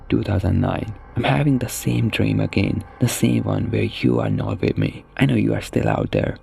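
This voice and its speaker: Male narrator for a casual horror recap video; deep-leaning, very creaky delivery. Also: darkish tone.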